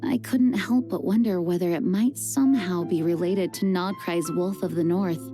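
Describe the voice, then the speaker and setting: An actress playing a composed, dutiful adult woman knight who leads, speaking in a measured, earnest and formal way. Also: lowish voice, warm tone, natural voice. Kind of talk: video game voice acting. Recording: game music under the voice. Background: game music.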